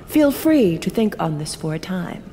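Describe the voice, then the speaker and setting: A woman's voice: on the low side, big pitch swings, moderate pace, dark tone. An actress playing a kindly, scholarly lady mentor in a fantasy audio drama, speaking formally and graciously. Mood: approving, measured. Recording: long hall reverb, music behind.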